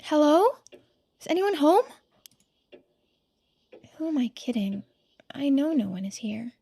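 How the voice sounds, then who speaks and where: A woman voicing a polite, thoughtful young game character who muses aloud in a soft-spoken, reflective way. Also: sits high, very animated, measured pace, voice pushed up, full character voice. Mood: quiet, reflective. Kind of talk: voiced game dialogue and narration.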